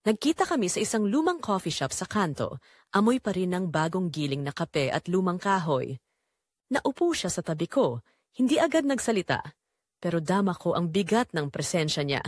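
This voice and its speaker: Filipino female audiobook narrator for dramatic fiction; lively pitch movement, close dry sound. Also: moderate pace, no room sound. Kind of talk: scripted dramatic first-person story narration.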